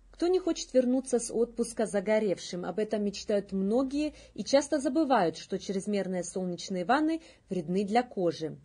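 A woman news presenter reads from a script in a mid-range voice. Her tone is soft-edged and dark, with a light huskiness. She sounds like an adult in her thirties delivering a bulletin. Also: mid-range voice, breathy edge.

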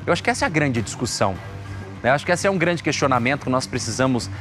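A man's voice: mid-range voice, lively intonation, racing, fairly bright tone. A young man with a Brazilian accent presenting live TV news in a conversational commentary style from a studio on a lapel mic. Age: young adult. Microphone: lapel microphone. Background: music bed.

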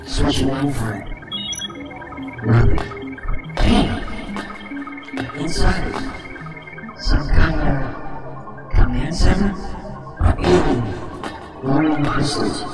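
Man as echoing, halting space scout. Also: on the high side, lively intonation. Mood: tense awe. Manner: clipped, halting fragments. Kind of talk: audio drama acting. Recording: distant, heavily echoing, under loud music.

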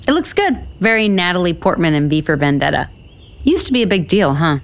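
A woman's voice: on the low side, very animated, brisk. An actress playing a blunt, sarcastic, self-deprecating woman writer in a naturalistic romantic comedy audio drama. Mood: wry, candid.

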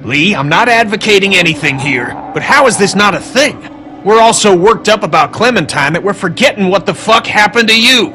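Casting: hard-headed game survivor, fairly high, tense voice, pushing his point bluntly. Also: animated, brisk, sharp tone, faint music behind. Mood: angry, insistent. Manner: blunt, insistent. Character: hard-headed, pragmatic, blunt male zombie apocalypse survivor. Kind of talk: dramatic video game acting.

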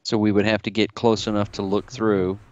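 A man's voice: on the low side, level tone, brisk, darkish tone, no echo, dull audio. A man playing a tabletop game over a video call, checking the rules aloud. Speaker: player. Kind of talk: casual tabletop game chat.

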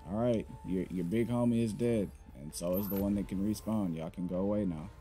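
A man's voice: on the low side, steady tone, a little fast, dark tone, faint music behind. A young man giving sarcastic, trash-talking commentary while playing a game. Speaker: gamer. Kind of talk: gameplay commentary.

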